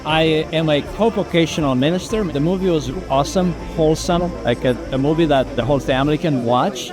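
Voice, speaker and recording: A man's voice: on the high side, fairly quick, over music. A man, a minister from the United States, giving a film testimonial. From Seattle, United States.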